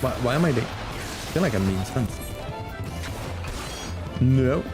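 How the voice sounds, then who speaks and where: A man's voice: medium pitch, expressive, slow pace, music in the background. A man streaming a game with casual, muttered commentary and reactions.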